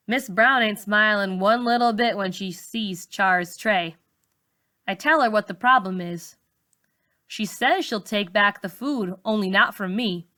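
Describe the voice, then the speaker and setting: A woman reading a novel aloud in expressive narration, like a teacher reading to students. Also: medium pitch. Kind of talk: expressive audiobook-style narration.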